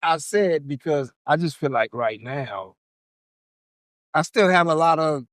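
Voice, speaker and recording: A man's voice: on the high side, expressive, measured pace, a bit bright, dry recording, clean studio sound. A man in his forties, a comedian guest, talking bluntly and comically with plenty of slang. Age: 40s. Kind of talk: casual chat.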